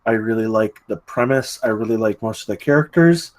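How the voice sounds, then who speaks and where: A man's voice: on the low side, brisk. A man giving casual spoken feedback as a table read participant, rambling a little and often stammering over repeated words.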